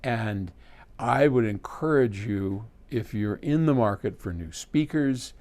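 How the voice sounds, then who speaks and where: A man's voice: lowish voice, lively intonation, slow, darkish tone. A man talking casually and unscripted to camera as a host answering viewer questions, rambling conversationally with asides and self-corrections. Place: trade-in equipment warehouse.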